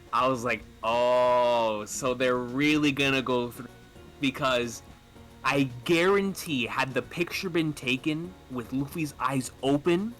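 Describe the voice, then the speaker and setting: A man talking casually and enthusiastically as a fan on a podcast, halting and rambling as he lays out his thoughts. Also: mid-range voice, pushed and bright. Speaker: podcast speaker discussing manga theories.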